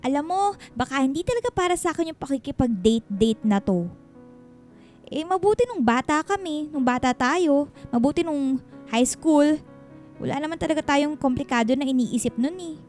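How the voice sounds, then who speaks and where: Guarded single mom, filipino accent, soft hesitant pleading, worried about love, radio drama w music under it. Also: female voice, high-pitched, expressive, moderate pace. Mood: worried, confiding. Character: anxious, guarded single mother torn over love.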